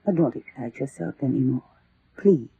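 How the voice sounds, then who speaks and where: A woman playing a frightened mother soothing her troubled son in an old radio drama, hushed, breathy and husky. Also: extremely low pitch, animated, moderate pace, crowd noise, full character voice. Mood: anxious soothing. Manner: hushed, placating. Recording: muffled tinny vintage radio recording.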